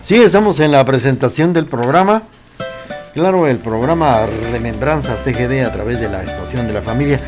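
A man's voice: mid-range voice, expressive, normal speed. Casting: male radio presenter, Guatemalan accent, mid-range voice, polite and chatty commentary for a music show. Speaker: radio program host.

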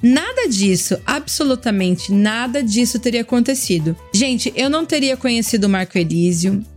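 A woman's voice: a little high, lively intonation, normal speed, soft background music. A Brazilian woman hosting a storytelling podcast, chatty and enthusiastic, talking straight to her listeners. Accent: Brazilian Portuguese. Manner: chatty, addresses listeners directly, warm and enthusiastic. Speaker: podcast host.

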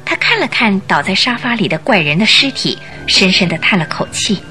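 Expressive woman narrating a suspenseful radio drama. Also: medium pitch, a little fast. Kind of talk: dramatic scripted storytelling narration. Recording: music under the voice.

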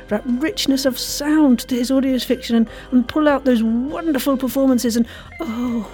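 Casting: female podcast host, playful and effusive, higher-pitched, soft mellow tone, some music behind. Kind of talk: enthusiastic, chatty podcast hosting.

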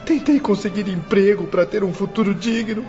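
A man's voice: high voice, fairly quick, mellow, muffled recording, soft background music. A man playing a bitter young orphaned prisoner in a melodramatic radio play, lamenting tearfully. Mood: sorrowful lament. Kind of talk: melodramatic radio drama monologue.

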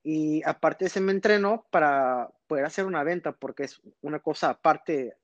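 A man, a little high-pitched for a man. He rambles through his stories with plenty of fillers. His voice comes over a dull, muffled line, dry and close. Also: moderate pace, no echo. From born in Texas, raised in northern Mexico. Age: 30s. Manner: rambling, storytelling, frequent fillers. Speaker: guest magician. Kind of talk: casual podcast interview.

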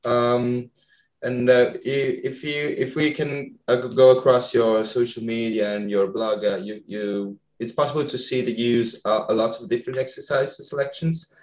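Italian-accented male host, halting delivery, echoey dull recording. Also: medium pitch, steady tone, a little mellow. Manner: hesitant, many fillers, searching for words. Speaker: podcast host. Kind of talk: podcast interview.

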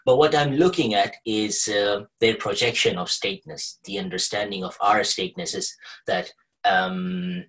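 A man's voice: lowish voice, fairly even intonation, normal speed, fairly bright tone, rough recording. A man from Kuwait speaking as a podcast guest, explaining in a discursive, conversational way. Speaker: podcast guest, researcher with a journalism degree.

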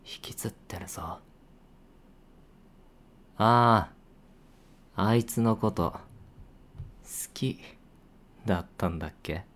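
A playful senior coworker, voiced by a young man, needles his junior in a casual, teasing way. His voice sits very low, and he takes his time over each line. It is a voice drama performance with quiet, hushed asides. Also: slow, pauses often. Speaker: voice actor. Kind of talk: situation voice drama.

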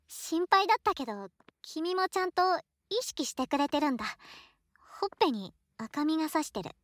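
A shy, affectionate student, once a boy and now a girl, acted by a woman, speaks in a very high, soft voice. The delivery is emotive, with wide swings in pitch, as in an intimate situation voice drama addressed to a best friend. The sound is close and very dry. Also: big pitch swings, moderate pace, long pauses, dry recording. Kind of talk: Japanese situation voice drama acting, intimate one-sided dialogue.

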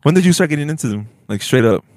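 A man's voice: fairly high pitch, expressive, racing, a little mellow. A young man co-hosting a casual podcast, laid-back and slangy, laughing often. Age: young adult. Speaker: podcast co-host. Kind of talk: casual chat. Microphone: headphones and studio mic.

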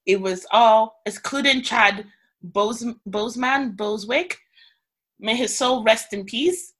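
A woman's voice: on the high side, slow pace, fairly bright tone. A woman chatting casually and animatedly with friends, swearing and laughing easily. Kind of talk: casual chat among friends.

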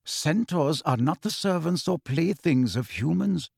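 A man voicing a calm, aloof male centaur teacher in an audiobook, speaking in a measured, solemn, formal way. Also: fairly high pitch, no room sound, clean studio sound, voice pushed up. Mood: solemn, quiet. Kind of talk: acted audiobook dialogue.

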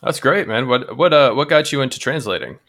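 A man's voice: mid-range voice, expressive, fairly quick, fairly bright tone. A man hosting a podcast, casually interviewing a guest and encouraging him with eager replies. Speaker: podcast host.

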